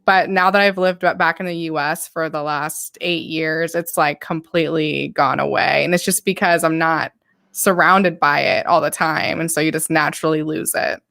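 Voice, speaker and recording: A woman who grew up in Indonesia chats casually on a video call, hedging her points. Her voice is a bit low, with a dry, close sound and no room echo. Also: moderate pace, vocal fry. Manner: relaxed, hedging with "like". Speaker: guest. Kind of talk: casual chat.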